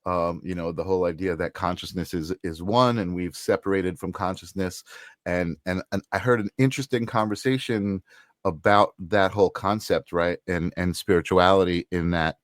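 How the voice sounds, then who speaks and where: A man hosting a podcast interview, asking questions in a thoughtful, halting, agreeable way. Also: deep voice, steady tone, no echo, clean studio sound. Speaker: podcast host.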